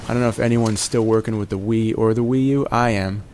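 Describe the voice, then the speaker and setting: A man's voice: lowish voice, steady tone, brisk, a little mellow. A man in his forties giving playful gameplay commentary in a jokey morning-DJ manner, wandering off on tangents. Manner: jokey morning-DJ banter, calls viewers "baby" and "my friends". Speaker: gaming commentator.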